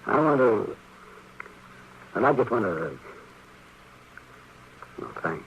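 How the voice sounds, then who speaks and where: An American man acting a crusty, excitable older town doctor, fussy and halting, in a 1950s Western radio drama with a hissy old recording. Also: lowish voice, muffled recording, heavily acted. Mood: gruff, awkward.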